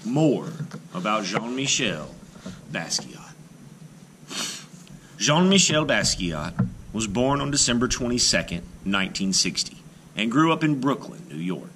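A man with a low, bright voice reads a children's book aloud like a teacher. His phrasing is measured and expressive, with deliberate pauses and plenty of rise and fall. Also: a little hiss or hum. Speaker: art teacher reading aloud. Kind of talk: read-aloud narration of a children's biography book.

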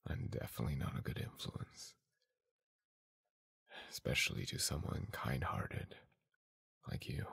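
A man acting a gruff, guarded young bully softening toward his sweetheart, in an intimate, halting ASMR roleplay, often near a whisper. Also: very deep voice, heavily acted. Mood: reluctant, apologetic. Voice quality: husky, gravelly, breathy voice.

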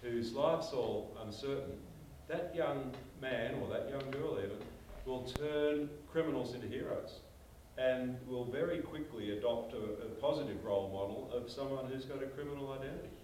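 A man's voice: mid-range voice, moderate pace, hardly any pauses, darkish tone, slight background noise. An older Australian man speaking as a panelist, telling stories emphatically in a panel discussion. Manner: emphatic storyteller, gestures while talking. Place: small meeting room. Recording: echoey room from far off.

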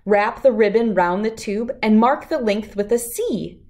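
A middle-aged woman reading a rhyming picture book aloud to children in an expressive, deliberate, lilting way. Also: on the high side. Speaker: children's read-aloud host.

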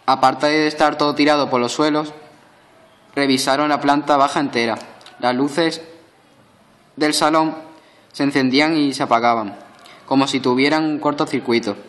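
A man's voice: mid-range voice, fairly even intonation, moderate pace, long pauses, fairly bright tone, lightly acted. A man playing a grave, insistent old man who is secretly a ghost, telling a story solemnly in an amateur audio drama.

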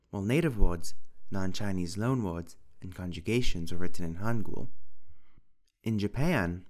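A man giving scripted, measured narration for an educational video, explaining step by step with the odd dry aside. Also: fairly low pitch. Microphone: voice-over.

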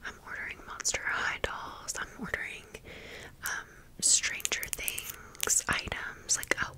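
A woman doing casual, chatty ASMR storytelling in a soft, breathy, unvoiced whisper. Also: slow. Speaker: ASMR video creator.

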